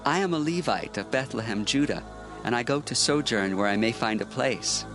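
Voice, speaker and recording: Young wandering priest played by a man, medium pitch, plain formal speech, scripture audio drama over clear music. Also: expressive, moderate pace, natural voice. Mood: calm, plain. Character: young wandering priest seeking a place to live.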